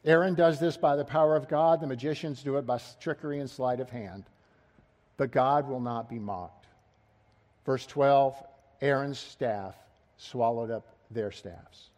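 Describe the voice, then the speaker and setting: A man's voice: mid-range voice, a little slow, a little mellow. A middle-aged man preaching an expository sermon, asking his listeners rhetorical questions and pressing key words with rising emphasis.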